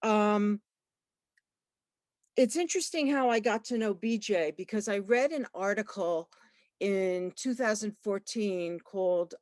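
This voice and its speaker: A woman librarian giving a talk at an online book launch, halting, with many fillers and restarts. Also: mid-range voice, a little mellow, no echo, clean studio sound. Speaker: librarian and researcher. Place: online video call.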